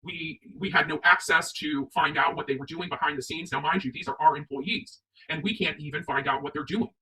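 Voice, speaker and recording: A woman's voice: sits low, fairly quick, bright, forceful tone, recorded from a distance, poor audio. A woman from the United States hosting opinionated livestream commentary, speaking emphatically and repeating her points for stress. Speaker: online commentary show host.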